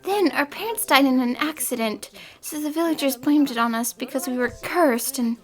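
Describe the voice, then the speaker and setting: Casting: gentle, sorrowful young sister for cartoon drama played by a woman, high soft voice, wistful delivery. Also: moderate pace, soft background music, lightly acted. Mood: sad, wistful. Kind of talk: fan-dubbed cartoon acting.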